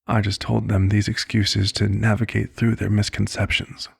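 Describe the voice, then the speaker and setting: A man playing a compassionate, fatherly vampire doctor in an ASMR roleplay, speaking in a measured, formal, hushed way with a low, husky, gravelly voice. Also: completely flat delivery, heavily acted. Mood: somber, protective. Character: compassionate old vampire doctor, fatherly, weary, gently persuasive.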